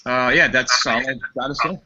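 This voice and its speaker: A man with a Canadian accent, a guest filmmaker chatting casually, relaxed and hesitant with fillers. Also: mid-range voice, a little fast. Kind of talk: casual chat. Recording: lo-fi call audio with clear noise.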